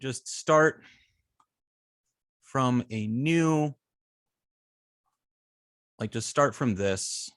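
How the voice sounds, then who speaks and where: A man's voice: medium pitch, lively intonation, drawn-out words, long pauses, a bit bright, dry recording, clean studio sound. A man teaching an informal hands-on coding lesson, thinking aloud hesitantly and trailing off mid-sentence. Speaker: web design instructor.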